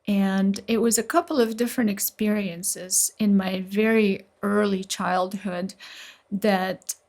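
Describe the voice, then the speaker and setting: A woman's voice: mid-range voice, steady tone, slow pace, a little mellow. A middle-aged woman from Slovenia, a neurologist guest telling her story earnestly and at length in an interview.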